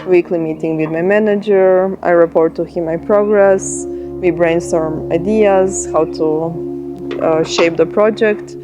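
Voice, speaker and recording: Woman, 30s, Croatian accent, low-pitched, thoughtful pauses, music bed behind. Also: fairly even intonation. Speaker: software engineer. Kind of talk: documentary interview.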